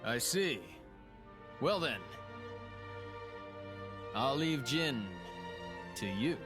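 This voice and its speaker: An actor playing a weary, cynical man who hates the world yet is wistful, in a dramatic video game cutscene, slow and resigned. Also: medium pitch, animated. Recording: music under the voice.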